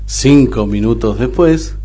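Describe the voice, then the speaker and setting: Argentine man, radio drama narrator, scripted delivery, clear music bed. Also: mid-range voice, slow pace, dark tone.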